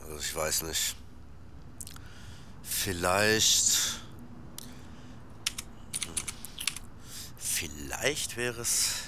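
A man's voice: deep voice, background noise, full character voice. A man playing a laid-back, slightly naive rapper in casual, slangy audio drama dialogue. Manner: colloquial, slangy.

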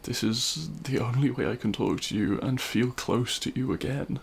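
A man playing a remorseful, self-loathing supervillain in an intimate audio roleplay, speaking in a halting, anguished way. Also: mid-range voice, gravelly, a little hiss or hum, natural voice. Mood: tearful self-loathing. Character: remorseful male supervillain confessing love at a grave.

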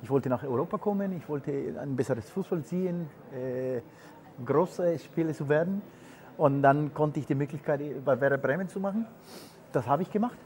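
Brief: man in his forties from Peru, retired footballer as guest, searching for words, slightly higher voice. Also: normal speed, a little mellow. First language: Spanish. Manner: hesitant non-native German with many fillers. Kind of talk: casual interview answers.

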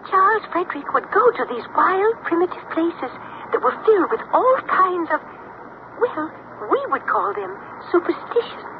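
A woman's voice: very high voice, very animated, normal speed. A woman playing a chatty, reminiscing lady in a 1970s radio drama. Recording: tinny, noisy taped radio playback.

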